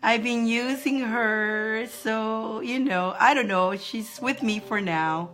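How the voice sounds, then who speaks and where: A woman with a squeaky voice hosting a live spiritual advice stream from home, chatting casually and greeting viewers by name. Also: slow pace, fairly bright tone. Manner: greets viewers by name in high sing-song, laughing often.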